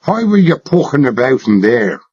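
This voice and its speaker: A man voicing a stocky middle-aged Irish foreman with a deep, rough, no-nonsense voice and a blunt, suspicious way of asking questions, on a dull lo-fi recording. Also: sing-song, moderate pace, darkish tone.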